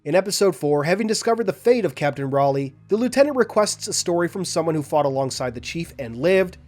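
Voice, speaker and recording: A man's voice: a little high, expressive, fast, darkish tone. A man hosting a lore analysis video, reading a scripted narration with enthusiasm and speaking directly to his viewers.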